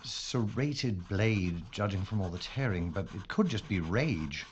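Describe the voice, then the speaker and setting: A man's voice: fairly low pitch, expressive, measured pace, a little hiss or hum. A man playing a composed, wry police inspector in a wartime London audio drama, speaking formally and clinically. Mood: grim, clinical.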